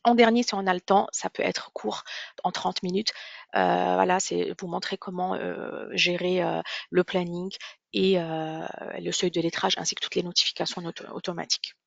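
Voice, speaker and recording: A presenter giving a software demonstration in a webinar, explaining step by step in a halting way, often repeating and rephrasing. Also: medium pitch, flat delivery, creaky, no echo, dull audio. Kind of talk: product demonstration webinar, semi-scripted explanation.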